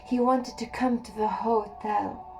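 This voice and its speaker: Woman, audiobook narrator, intimate reading, somewhat high voice, faint music behind. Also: steady tone, poor audio. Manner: slow, intimate reading with soft pauses. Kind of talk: first-person erotic story reading.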